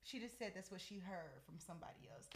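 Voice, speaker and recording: Animated young woman telling a story. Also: fairly low pitch, quick pace, background noise. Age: 20s. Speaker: podcast guest. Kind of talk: casual storytelling.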